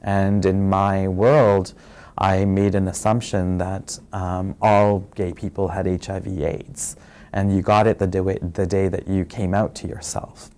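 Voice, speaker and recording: A man from Fiji speaks with a low, mellow voice, recounting his life in a soft and reflective way. He pauses often and fills gaps with small hesitations, unhurried and personal. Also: flat delivery. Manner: soft-spoken and reflective, many pauses and fillers. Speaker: interviewee sharing his story. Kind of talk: personal testimony.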